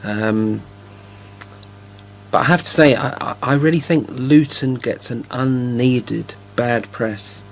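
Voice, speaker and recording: A middle-aged man from Norwich co-hosting a local radio chat, talking in a halting way and often repeating words as he starts a thought. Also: medium pitch, vocal fry, slight background noise. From Norwich, Norfolk, England. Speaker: radio co-host.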